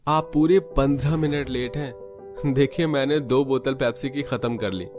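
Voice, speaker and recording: A man's voice: mid-range voice, normal speed, over music, pitched above the performer's own voice, natural voice. A man playing an eager, chatty and oblivious young suitor in a cartoon comedy. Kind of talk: Hindi cartoon comedy acting.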